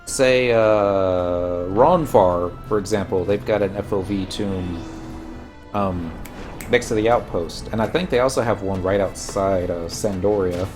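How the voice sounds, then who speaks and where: A man's voice: deep voice, a little slow, over music. A man with a Texan accent casually chatting through his gameplay, rambling and answering viewers as he goes. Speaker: streamer playing an online game. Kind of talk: casual live gameplay commentary.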